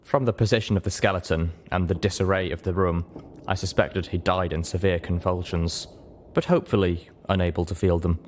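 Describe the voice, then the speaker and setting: Low-pitched man narrating a story. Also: fairly even intonation, a little fast, a little hiss or hum. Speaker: audiobook reader. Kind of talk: dramatic first-person story narration.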